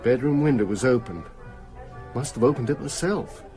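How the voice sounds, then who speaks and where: An actor playing a sick, frightened small-town working man in a radio drama, speaking in a weary, halting, dazed way. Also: mid-range voice, mellow, faint music behind, natural voice. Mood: exhausted, haunted.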